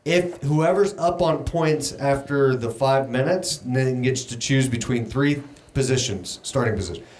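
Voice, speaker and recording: A man's voice: mid-range voice, measured pace, a little mellow, slight background noise. Man explaining rules step by step. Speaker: podcast co-host. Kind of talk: casual chat, explaining rules.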